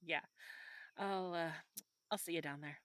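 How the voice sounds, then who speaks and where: A woman playing a friendly, teasing medieval fantasy tavern local in an audio roleplay, talking in a conversational, gently instructive way to a newcomer. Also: on the low side, unhurried, clear, resonant tone, no room sound. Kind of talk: audio roleplay acting, adventure ASMR.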